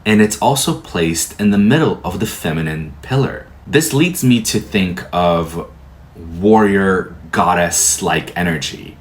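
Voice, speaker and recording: Slow, low-voiced man teaching tarot. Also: expressive. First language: Portuguese. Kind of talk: calm explanatory lecture.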